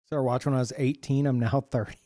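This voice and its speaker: A man's voice: mid-range voice, fairly even intonation, fast talker, noisy background. A man in his thirties casually chatting and swearing as he reads out chat messages while streaming a game.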